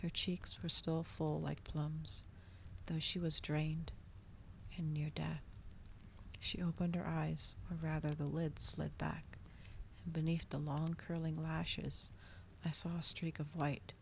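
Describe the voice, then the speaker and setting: A woman reading a novel aloud in a hushed ASMR style, softly and breathily, often slipping toward a whisper. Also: low-pitched, level tone, slow, gravelly, slight background noise.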